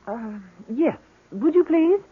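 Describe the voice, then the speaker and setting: An anxious middle-aged mother in an old radio play. Her pitch moves widely as she frets and coaxes, on a dull recording with faint noise. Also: expressive, slow pace. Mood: flustered. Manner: hesitant, fretful, coaxing. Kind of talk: old-time radio drama acting.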